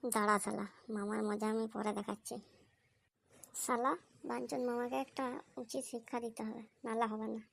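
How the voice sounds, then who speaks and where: A woman's voice: a little high, flat delivery, moderate pace, creaky, fairly bright tone, dry recording. A woman voicing a cheeky, hungry, scheming young boy in a comic cartoon, pleading and sly. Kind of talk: amateur comic cartoon dubbing. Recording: faint background noise.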